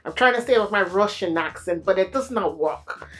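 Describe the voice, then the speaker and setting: A woman recapping a movie in a casual, animated way, full of slang and playful exclamations. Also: mid-range voice, brisk, soft background music. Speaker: movie reviewer.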